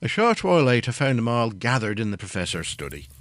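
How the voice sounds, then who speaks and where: An actor playing the composed gentleman narrator of a gothic audio drama, reading scripted storytelling. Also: mid-range voice, big pitch swings, fast, faint music behind, natural voice.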